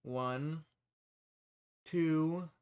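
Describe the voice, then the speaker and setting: A man's voice: fairly high pitch, very slow, highs cut off, over music. A man playing a folksy undercover rebel among monster hunters in a roleplay audio drama. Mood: hushed, reassuring. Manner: folksy, reassuring. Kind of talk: scripted roleplay audio drama addressed to the listener.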